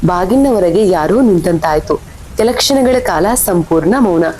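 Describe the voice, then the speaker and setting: A woman's voice: lively intonation, normal speed, mellow, low-quality recording. A woman reading scripted horror story narration as the storyteller. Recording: faint rumble and rain behind voice.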